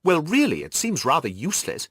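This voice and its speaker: A man's voice: fairly high pitch, wildly swooping pitch, brisk, slightly rough, dry recording, studio quality, pitched above the performer's own voice, heavily acted. A man voicing an annoyed female employment agency manageress in an audiobook, speaking sharply and curtly. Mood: sharp, dismissive. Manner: sharp, curt.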